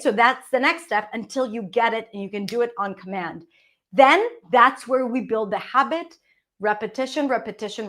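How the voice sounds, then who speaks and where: A woman with an Israeli accent coaching English pronunciation in an energetic, emphatic teaching talk, modelling sounds as she goes. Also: fairly high pitch. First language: Hebrew. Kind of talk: teaching webinar.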